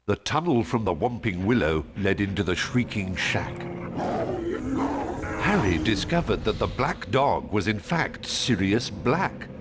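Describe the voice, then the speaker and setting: Low-voiced man narrating a game story. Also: big pitch swings, measured pace. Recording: narration over game music. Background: music.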